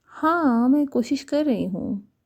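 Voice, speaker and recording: A woman voicing a guarded, slow-spoken young woman. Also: sits high, expressive, darkish tone, exaggerated voice. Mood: wary, curt. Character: guarded, hesitant young woman in a love story.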